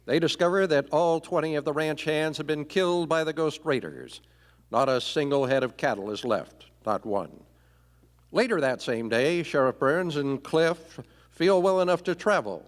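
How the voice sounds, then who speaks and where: A man's voice: a little high, lively intonation, moderate pace, close to an everyday voice. A man narrating a western in dramatic old-time radio style. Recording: echoey live theatre hall.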